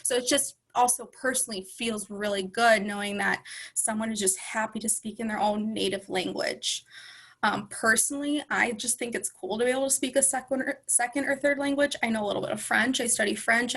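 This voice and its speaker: A woman's voice: on the high side, steady tone, brisk, gravelly, a bit bright. A young woman in her twenties chatting casually as a guest speaker, with lots of ums and restarts. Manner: chatty, many ums and self-corrections. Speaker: guest speaker, former student. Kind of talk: casual panel conversation.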